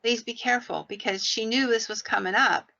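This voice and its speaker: A woman's voice: a little high, brisk, gravelly, bright, forceful tone. A woman podcast host telling paranormal stories in a conversational, folksy way with rambling asides. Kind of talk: conversational storytelling about the paranormal. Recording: dull, lo-fi sound.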